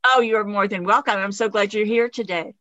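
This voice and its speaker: A woman hosting a radio interview show, delivering formal, deliberate introductions and questions. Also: mid-range voice, fairly even intonation, bright, forceful tone, no echo. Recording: dull, dry studio sound.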